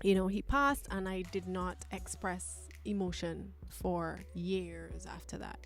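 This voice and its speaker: A woman's voice: lowish voice, slow pace. A woman from Barbados, talking candidly and thoughtfully as a guest in a personal interview. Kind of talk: reflective personal conversation.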